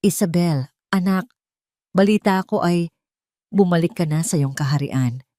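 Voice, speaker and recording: A loyal old man, played by a woman storyteller talks solemnly and gently. His voice is measured and close, with a dry sound, in audio drama style. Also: on the low side, dry recording, close to an everyday voice. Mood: gentle.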